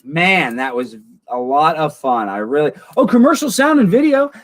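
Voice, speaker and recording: A man's voice: a little high, very animated, moderate pace, no room sound. A man hosting a livestream, chatting with his viewers in a goofy, rambling way. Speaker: livestream host. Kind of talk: casual livestream chat.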